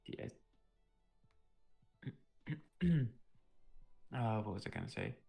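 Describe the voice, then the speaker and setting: Low gravelly young man streaming. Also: wildly swooping pitch, drawn-out words, dark tone. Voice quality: vocal fry. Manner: rambling, filler-heavy, clears throat often. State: slightly sick, clearing throat. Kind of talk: casual live-stream chat. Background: music playing.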